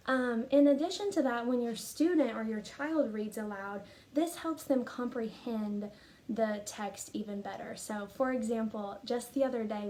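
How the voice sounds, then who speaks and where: A woman speaking as a teacher, explaining and reading aloud in an expressive, instructive way. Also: on the high side, a little hiss or hum. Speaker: teacher and reading program fellow. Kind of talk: explanatory talk, then storybook read-aloud.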